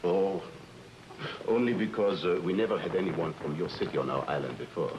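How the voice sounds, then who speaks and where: A man as a deep, fawning hotel manager. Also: lo-fi sound, noisy background, natural voice. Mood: eager, fumbling. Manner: halting, hesitant, fawning speech with searched-for words. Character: fat, obsequious male hotel manager on a tropical island. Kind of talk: old-time radio drama acting. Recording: old radio recording with music.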